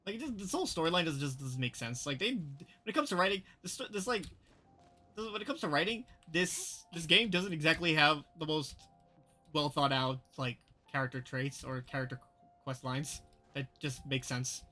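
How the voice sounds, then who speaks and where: Casting: male game streamer, higher-pitched bright voice, blunt and sweary, casual live commentary, faint music behind. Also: normal speed. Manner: blunt, profane, exasperated.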